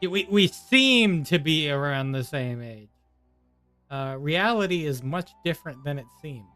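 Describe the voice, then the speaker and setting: A male game streamer talks casually over play with wry, joking asides. His voice is bright and forward, with faint game music behind it. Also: a little high, expressive, a little slow, clean tone. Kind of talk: casual Let's Play commentary.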